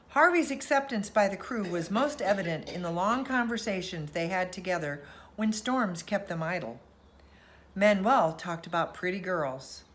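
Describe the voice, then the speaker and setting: A woman reading a children's storybook aloud as bedtime narration, in an expressive storytelling manner. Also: mid-range voice, a little hiss or hum.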